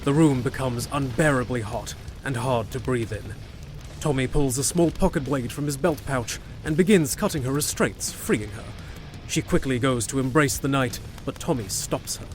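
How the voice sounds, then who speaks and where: A man's voice: mid-range voice, moderate pace. A man narrating a scripted fantasy audio drama as a storyteller. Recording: music behind.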